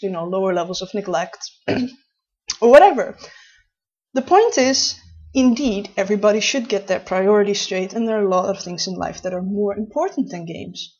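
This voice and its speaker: Casting: Dutch woman in her late 20s, Dutch accent, husky tone, chatty, rambling vlog talk, muffled lo-fi sound. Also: mid-range voice, darkish tone. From Netherlands. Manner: chatty, rambling, with many restarts. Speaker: vlogger. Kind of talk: casual talk to camera. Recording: dull lo-fi recording.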